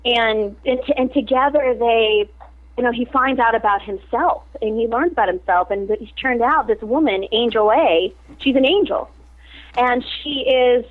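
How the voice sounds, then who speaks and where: A woman's voice: on the high side, moderate pace. A woman actress in a casual interview, talking animatedly and laughing often. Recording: tinny phone-like line.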